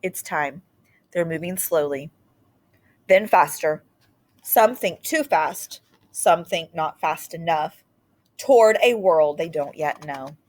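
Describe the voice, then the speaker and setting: Woman reading a picture book aloud, unhurried. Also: deep voice, expressive. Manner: unhurried, short deliberate phrases with dramatic pauses. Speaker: read-aloud storyteller. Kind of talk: expressive picture-book read-aloud with brief asides.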